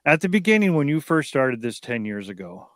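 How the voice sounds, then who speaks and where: A man in his fifties hosts a laid-back talk show in a smooth, clear voice. His sound is close and dry. He rambles a little, doubling back over words as he gets each sentence going. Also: medium pitch, very animated, brisk, no echo. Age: 50s. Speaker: show host. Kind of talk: casual talk show hosting. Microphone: online video call.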